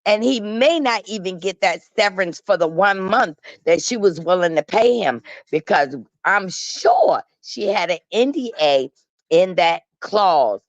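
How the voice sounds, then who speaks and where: A woman's voice: mid-range voice, animated, measured pace, dry recording. A woman with a mid-range voice on a dry, slightly dull lo-fi recording. She hosts a casual gossip show. Her commentary is opinionated and emphatic, told with sass in colloquial speech. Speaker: talk show host. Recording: dull, lo-fi online audio.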